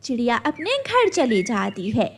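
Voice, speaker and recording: A woman's voice: a little high, wildly swooping pitch, measured pace, a little hiss or hum. A woman reading scripted narration for a children's cartoon story.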